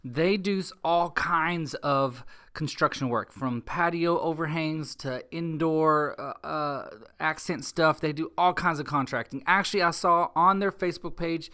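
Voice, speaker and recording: A man's voice: on the high side, bright, forceful tone, smooth. An American man hosting a podcast, chatting in a casual, informal and upbeat way as he interviews and encourages. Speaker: podcast host.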